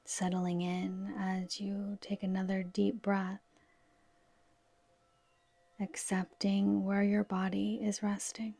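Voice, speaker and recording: A woman with a soft, whispery voice gently guiding a yoga nidra relaxation in a soothing, unhurried manner. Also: medium pitch, little pitch movement, creaky, slightly rough, no echo. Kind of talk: guided relaxation meditation.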